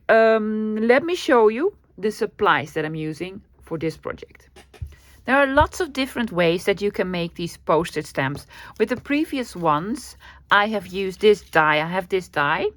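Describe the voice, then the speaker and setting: A woman's voice: fairly low pitch, lively intonation, moderate pace, no echo. A middle-aged woman from the Netherlands with a Dutch accent, hosting a casual craft vlog in a friendly, self-deprecating way with frequent laughs and ums. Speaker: craft channel host. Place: craft room desk.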